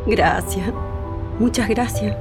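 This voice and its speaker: An actress playing a grieving, resolute daughter with a Chilean accent in a radio drama, speaking tenderly and intimately. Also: female voice, mid-range voice, slow pace. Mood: quietly grateful. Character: grieving, resolute daughter bidding farewell to her executed father. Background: music.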